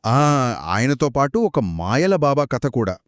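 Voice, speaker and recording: A man's voice: mid-range voice, very animated, takes it slowly, no room sound, clean studio sound. Man asking eager questions in a story. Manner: curious, prompting questions and reactions. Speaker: co-host. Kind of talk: scripted story dialogue.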